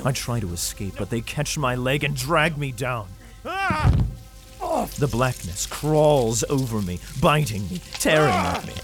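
A man's voice: mid-range voice, sing-song, a little slow, music in the background. An actor playing an anxious, haunted father in a sci-fi audio drama, with an intense, theatrical delivery. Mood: panicked dread. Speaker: lead actor and narrator.

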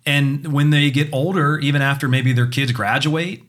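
A man's voice: on the high side, fairly even intonation, normal speed, a bit bright. A man talking in a casual podcast chat, rambling with many restarts and fillers.

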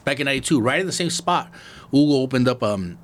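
A man's voice: medium pitch, lively intonation, fast, slight background noise. A middle-aged man from East Los Angeles with a Chicano accent, chatting casually as a podcast host in slangy, profane English sprinkled with Spanish. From East Los Angeles, born in Mexico. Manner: laid-back street slang peppered with Spanish and swearing.

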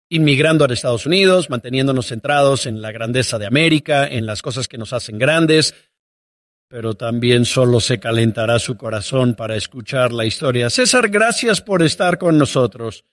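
A man's voice: medium pitch, no echo, clean studio sound. A man hosting a podcast interview, talking in an earnest, upbeat way as he welcomes and questions his guest. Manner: earnest, upbeat, patriotic praise. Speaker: podcast host.

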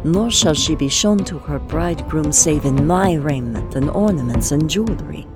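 A woman playing a wealthy lady who recounts her tale in measured, ornate, archaic English in an audio drama. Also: low voice, lively intonation, music in the background. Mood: solemn storytelling.

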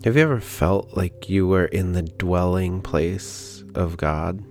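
A man leading a guided prayer meditation in a calm, gentle, unhurried manner with pauses. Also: deep voice. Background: background music.

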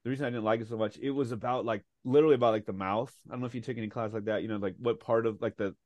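A man's voice: medium pitch, steady tone, rapid-fire, a little mellow, dry recording. A man hosting a podcast, reading a guest introduction and then chatting eagerly with many fillers. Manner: chatty, enthusiastic, with frequent fillers. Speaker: podcast host. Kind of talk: casual interview chat.

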